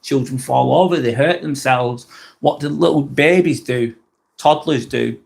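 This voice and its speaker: A man's voice: mid-range voice, measured pace, creaky, a little mellow. A man with a Lancashire accent from northwest England, hosting a casual live vlog in a rambling, blunt way, swearing casually and often restarting his sentences. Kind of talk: casual live-stream talk.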